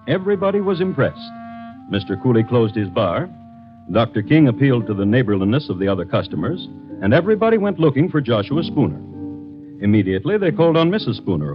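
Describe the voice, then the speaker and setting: Music plays behind a muffled vintage radio broadcast. A man narrates as host with wry, theatrical delivery and highly varied intonation. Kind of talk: 1950s radio scripted dramatic narration.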